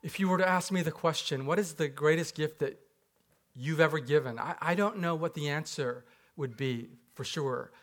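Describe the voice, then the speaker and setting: A man's voice: on the high side, fairly bright tone. A man from Japan, a pastor, giving a conversational sermon with rhetorical questions and light humor in a church. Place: church sanctuary.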